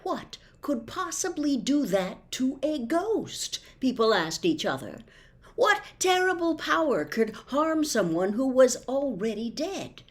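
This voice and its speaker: A woman reading a storybook aloud as narrator, in an expressive storytelling style. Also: high voice, slow pace. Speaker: audiobook reader.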